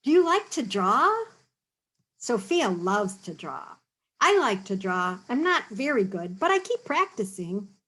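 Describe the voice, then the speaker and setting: A woman's voice: medium pitch, sing-song, a little slow, fairly bright tone, slightly rough. A woman reading a picture book aloud to children, then patiently explaining drawing tips and checking in with them often. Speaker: storybook reader and teacher.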